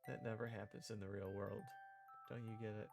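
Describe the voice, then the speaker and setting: A man's voice: on the low side, flat delivery, brisk, gravelly, over music. A man reading visual novel dialogue aloud and performing the parts, with casual asides as a streamer.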